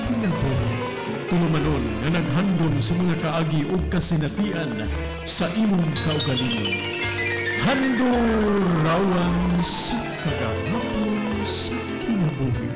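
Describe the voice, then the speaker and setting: A Cebuano radio drama announcer reading a scripted sign-off in a solemn, measured way. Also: mid-range voice, animated. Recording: echoey, loud music under voice.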